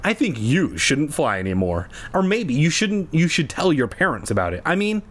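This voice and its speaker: Give this one a high, swooping voice: a man playing a young girl in a storybook, whiny and pleading, quick to get bossy. She stretches for what she wants and sounds put out. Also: big pitch swings, fairly bright tone. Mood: worried. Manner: begging, demanding, impatient.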